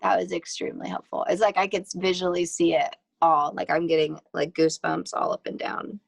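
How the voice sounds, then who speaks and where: A woman's voice: lowish voice, fairly even intonation, fairly quick, vocal fry, breathy edge, no room sound. A woman of thirty-two, a client in a coaching session, chatting casually and often laughing. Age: 30s. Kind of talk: casual chat.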